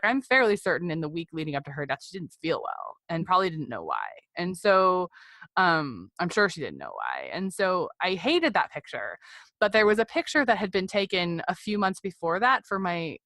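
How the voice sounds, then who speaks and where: Woman in her 40s, lowish voice, rambly reflective podcast guest, really dry close sound. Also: a bit bright, no echo. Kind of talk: candid personal interview conversation.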